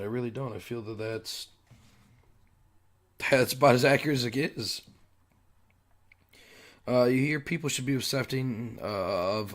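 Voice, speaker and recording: A young man with a voice worn down by illness delivers solo commentary as a show host. He keeps clearing his throat and hesitates with fillers, circling back to restart his sentences at a middling pitch. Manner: halting, restarts mid-sentence. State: sick, losing his voice. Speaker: podcast host.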